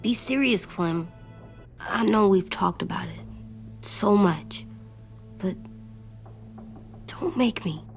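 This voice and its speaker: A woman's voice: mid-range voice, takes it slowly, creaky, pauses often, soft background music. A woman voicing an earnest, stubborn little boy in an apocalypse video game, with a childlike, plain-spoken, solemn delivery. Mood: upset, pleading. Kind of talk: naturalistic video game acting.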